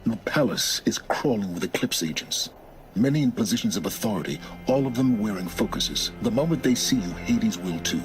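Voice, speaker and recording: A low male actor's voice over clear music, as a cryptic middle-aged informant in a video game. He is imperious and measured, a schemer who gives orders and keeps secrets. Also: lively intonation, natural voice. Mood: grave, explaining. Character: secretive, commanding male informant serving his own interests. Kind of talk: dramatic video game acting. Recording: music behind the voice.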